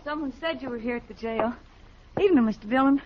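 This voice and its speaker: A woman's voice: fairly high pitch, quick pace, a little hiss or hum, close to an everyday voice. Actress as an anxious young frontier woman, muffled. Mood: anxious, polite. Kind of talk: 1950s radio acting. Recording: muffled old radio recording.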